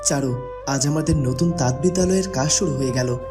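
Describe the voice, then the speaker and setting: A man's voice: mid-range voice, fairly quick, over music, close to an everyday voice. A man voicing an earnest, devoted young prince in a fairy-tale cartoon, speaking in a formal dramatic way. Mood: admiring.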